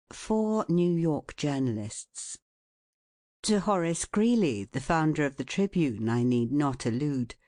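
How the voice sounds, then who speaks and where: Audiobook narrator, soft mellow tone, medium pitch, formal measured delivery, close dry sound. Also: no echo. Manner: measured, formal, deliberate reading.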